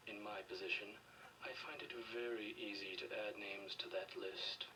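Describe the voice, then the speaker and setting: An actor playing a cold, condescending government official in a video game, speaking in measured, quiet threats. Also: male voice, on the low side, steady tone, recorded from a distance, noisy background, natural voice. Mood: cold menace. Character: cold, menacing middle-aged male government official interrogating a prisoner.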